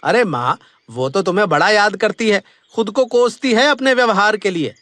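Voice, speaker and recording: A man's voice: high voice, lively intonation, normal speed, fairly bright tone, no echo. A man playing a two-faced, wheedling grown son in a Hindi cartoon story, with exaggerated pleading delivery.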